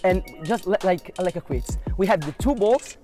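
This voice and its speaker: Italian accent guy doing a casual interview, highish voice, rambly halting phrasing, music behind. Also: expressive, mellow. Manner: halting, wordy second-language phrasing.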